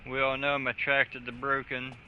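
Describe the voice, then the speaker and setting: A man's voice: medium pitch, monotone, normal speed. A man streaming a video game with a friend, talking casually with lots of swearing and jokes. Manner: laid-back, profane, joking. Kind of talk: casual livestream chat. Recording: slightly dull audio with game music.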